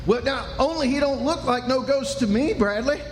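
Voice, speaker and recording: A man's voice: extremely high pitch, moderate pace. An American man playing a kindly, patient Old West sheriff in a radio drama, with a folksy, hesitant drawl. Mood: musing. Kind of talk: radio drama acting before an audience. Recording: echoey live hall, faint noise.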